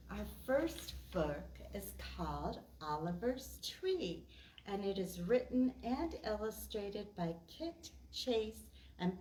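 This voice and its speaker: A woman's voice: mid-range voice, a little mellow, a little hiss or hum, rough recording. A woman hosting preschool storytime as a children's librarian, reading picture books expressively and explaining simply to small children. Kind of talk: picture-book read-aloud and chat to young children.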